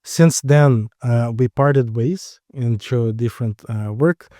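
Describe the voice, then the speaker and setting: A man's voice: mid-range voice, mellow, no room sound, studio quality. A man from Brazil with a Brazilian accent, a startup co-founder answering interview questions in short, explanatory phrases.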